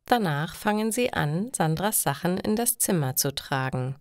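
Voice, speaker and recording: A woman reading a scripted story slowly for language learners. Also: fairly low pitch, expressive, a little mellow, clean tone, dry recording, studio quality. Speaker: narrator reading stories for German learners.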